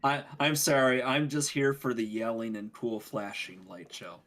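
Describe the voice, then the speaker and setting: A man's voice: mid-range voice, flat delivery, normal speed, fairly bright tone. A man co-hosting a casual podcast, adding dry, joking asides. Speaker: podcast co-host. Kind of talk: casual chat.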